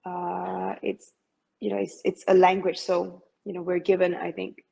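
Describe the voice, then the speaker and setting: A woman's voice: lowish voice, monotone, a little slow, creaky, mellow. A middle-aged woman from Malaysia, a masters swimmer talking as an interview guest, hesitant with many fillers and restarts. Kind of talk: interview conversation.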